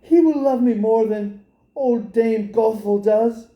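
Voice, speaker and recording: Man as an innocent, high-voiced young maiden. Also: slow pace, dark tone, echoey room. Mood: trusting, willing. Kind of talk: storybook character voice.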